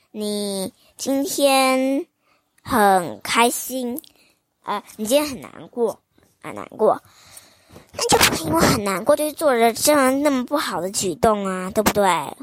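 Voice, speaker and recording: A young woman reading a fairy tale aloud to children in a gentle, sing-song, child-directed manner. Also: on the high side, expressive, slow, pauses often, background noise. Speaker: children's storyteller host.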